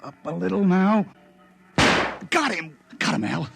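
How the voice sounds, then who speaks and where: A man playing a gruff, protective frontier father, terse and commanding, in 1950s radio drama style. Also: high-pitched, expressive, measured pace, a bit bright, exaggerated voice. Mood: grim, tense. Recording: noisy old radio drama recording.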